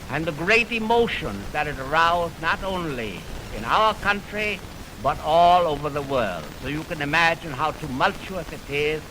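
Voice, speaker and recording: Crackly vintage radio aircheck, heavy noise, higher-pitched man, formal music lecturer, oratorical, measured phrasing. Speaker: radio host introducing orchestral music. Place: radio broadcast. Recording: noisy, crackly 1920s optical film radio aircheck.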